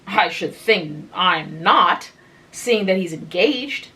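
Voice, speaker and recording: A woman reading as a tense, sharp-tongued young woman. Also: on the low side, expressive, slow, exaggerated voice. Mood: guarded. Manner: clipped, snapping.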